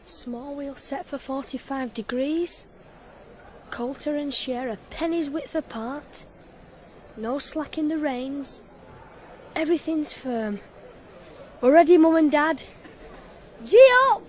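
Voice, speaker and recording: A man's voice: extremely high pitch, takes it slowly, pauses often, darkish tone. A man acting a stubborn, earnest young ploughboy in a radio drama. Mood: concentrated. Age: young adult. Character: stubborn young ploughboy, devoted to his horses. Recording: noisy old radio broadcast.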